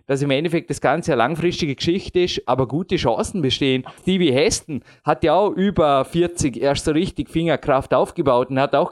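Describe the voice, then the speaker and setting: A man in his late thirties talking at length in a casual chat, rambling and self-deprecating with frequent chuckles. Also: fairly high pitch. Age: 30s. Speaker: podcast host and competitive climber.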